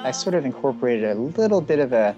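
A man's voice: medium pitch, sing-song, quick pace, dark tone, lo-fi sound, soft background music. A man being interviewed as a composer, speaking casually and thoughtfully, hedging with lots of "sort of" and "um". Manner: thoughtful, hedging, with many fillers.

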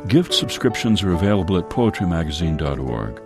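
A man hosting a daily radio segment, reading birthdays and a poem in a slow, measured way with falling line ends. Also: low voice, very animated, music in the background. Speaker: radio host.

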